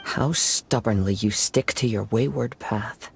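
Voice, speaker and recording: An actor voicing a calm, perceptive young wandering samurai in a video game, with a measured, soothing delivery. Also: male voice, fairly low pitch, creaky, a little hiss or hum.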